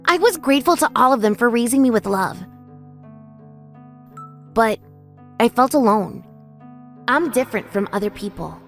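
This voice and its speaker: A woman's voice: a little high, expressive, normal speed, pauses often. Woman voicing an earnest, lonely little orphan boy. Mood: wistful, lonely. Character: orphaned five-year-old boy raised by yakuza, frightened, lonely. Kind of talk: first-person dramatic narration in an anime-style dub. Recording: voice over background music.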